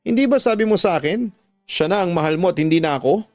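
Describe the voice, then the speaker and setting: Man as betrayed wife, radio drama, high-pitched, bitter, accusing, emphatic, music behind. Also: lively intonation, fast, no room sound. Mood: restrained, bitter. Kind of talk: Tagalog radio drama acting.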